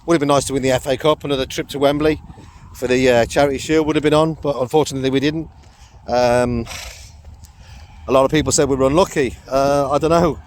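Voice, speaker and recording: A middle-aged man with a croaky throat rambles through a casual vlog while out walking. He talks in a loose, chatty way, full of fillers and little laughs. Faint outdoor ambience sits behind his voice. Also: medium pitch, steady tone, fast, a little hiss or hum. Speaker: vlogger. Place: outdoors on a walk with a dog.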